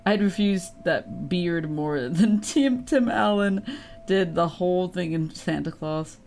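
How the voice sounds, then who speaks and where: A woman's voice: lowish voice, animated, slow, darkish tone. A woman giving casual, offhand commentary while live streaming a game, with a wry manner and game sound behind her. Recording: clear game ambience noise behind.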